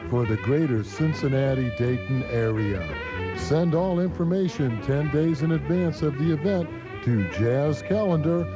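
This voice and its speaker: A man's voice: fairly high pitch, lively intonation, measured pace. A man reading a scripted promo as a radio station announcer. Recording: muffled radio broadcast taped on cassette. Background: music.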